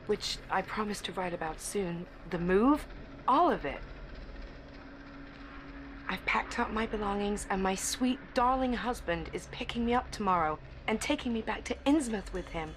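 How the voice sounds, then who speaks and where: An actress playing a besotted young bride drawn into a cult, delivering an intense, breathy diary monologue in an audio drama. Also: female voice, medium pitch, slight background noise, lightly acted, poor audio. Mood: excited, dreamy.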